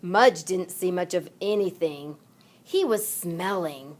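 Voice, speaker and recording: A woman narrating a children's read-along story aloud in an expressive, deliberate manner. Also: lowish voice. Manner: deliberate, animated delivery for children.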